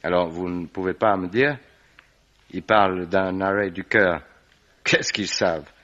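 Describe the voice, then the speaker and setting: Edgy scared american guy, low gruff voice, suspicious, radio drama, dull sound. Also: unhurried, fairly bright tone. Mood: uneasy, suspicious. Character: frightened, irritable American man, guarded. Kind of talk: French radio mystery play acting.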